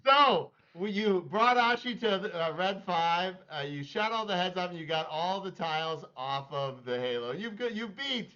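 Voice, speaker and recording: A man with a high, very lively and bright voice guides players through a board game in an excitable, playful way. His audio sounds dull and lo-fi. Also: normal speed, hardly any pauses. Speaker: game teacher.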